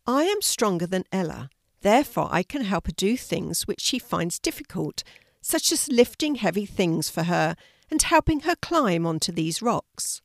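A woman's voice: mid-range voice, big pitch swings, normal speed, creaky, no room sound. A woman reads as a young boy in a children's story. He is sincere and helpful, explaining things earnestly. The recording is close and dry.

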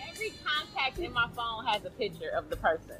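A woman's voice: a little high, big pitch swings, quick pace, music in the background. A woman co-hosting a comedy podcast, telling a personal story bluntly and matter-of-factly. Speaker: podcast co-host. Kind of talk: casual comedic podcast chat.

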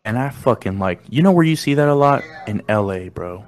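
A young man whose first language is Spanish, hosting a casual podcast chat in slangy, animated talk, often saying "you know what I'm saying". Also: lowish voice, fairly quick. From Mexican American. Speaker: podcast host.

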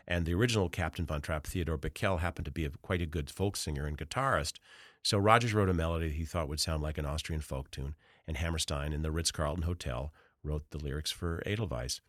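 A middle-aged man, an author being interviewed, telling measured, anecdotal stories with frequent pauses and filler sounds. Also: rumbling low, fairly bright tone, no room sound, studio quality. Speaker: author and journalist guest. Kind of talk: thoughtful interview answers.

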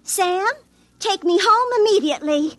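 A woman's voice: extremely high pitch, lively intonation, a little slow, loud music behind the voice. An actress playing a prim, easily exasperated young American small-town girlfriend in a classic radio drama. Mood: affronted. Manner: prim, scolding.

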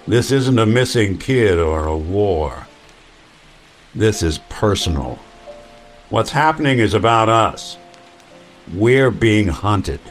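Brooding hard-boiled robot gumshoe, low voice, world-weary and wry, radio-drama noir over music. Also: male voice, expressive, slow, pauses often. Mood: grave. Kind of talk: old-time radio drama acting.

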